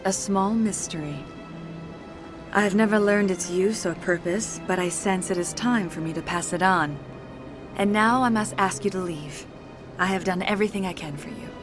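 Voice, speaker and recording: A serene mystical elder woman, voiced by an actress, speaking calmly and formally. Her speech is solemn and unhurried. Game music plays behind her. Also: medium pitch, natural voice.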